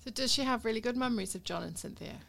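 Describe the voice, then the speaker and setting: Gravelly-voiced woman podcast host. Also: a little high, rapid-fire, a bit bright. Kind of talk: scripted podcast intro.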